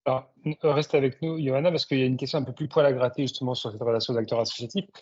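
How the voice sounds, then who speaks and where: A man's voice: medium pitch, steady tone, racing, a little mellow, slightly rough. A man moderating a webinar and relaying questions in a conversational way. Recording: dull, lo-fi online call audio.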